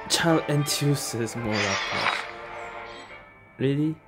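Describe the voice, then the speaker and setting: A man's voice: mid-range voice, slow pace. A man giving casual let's play commentary as a gaming streamer. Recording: loud game music behind voice.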